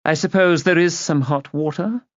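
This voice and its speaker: A man's voice: on the high side, moderate pace, no echo, highs cut off, pitched above the performer's own voice, natural voice. A man voicing a haughty, imperious upper-class woman in an audiobook, clipped, condescending and cutting. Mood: cool, commanding. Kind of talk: audiobook character voice.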